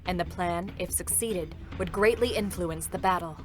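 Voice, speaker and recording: A woman giving a measured, formal scripted narration of a dramatic game story. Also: lowish voice, soft background music.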